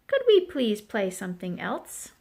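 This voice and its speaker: A woman's voice: mid-range voice, very animated, a little slow, gravelly, warm tone, breathy edge, exaggerated voice. Woman as a grumbling, put-upon male goose. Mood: weary, reluctant. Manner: muttering, groaning, honking.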